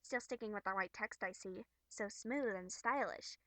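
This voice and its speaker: A woman's voice: medium pitch, moderate pace, a bit bright, no echo, lightly acted. A woman voicing a snide, haughty young troll girl from a webcomic, drawling with mocking, exasperated sarcasm. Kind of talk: amateur character voice acting from a webcomic.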